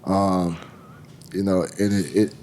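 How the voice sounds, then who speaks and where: A man's voice: low-pitched, level tone, takes it slowly, dark tone, slight background noise. A man in his late thirties hosting a podcast interview, trading loose, joking banter full of slang. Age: 30s. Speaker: podcast host. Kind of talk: casual interview chat.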